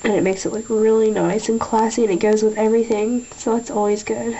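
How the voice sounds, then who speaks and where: A young woman talking through a nail tutorial in a chatty way, a little nasal from a sinus infection, often clearing her throat. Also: on the high side, flat delivery, fairly quick, dark tone, rough recording. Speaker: beauty vlogger. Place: small room at a table.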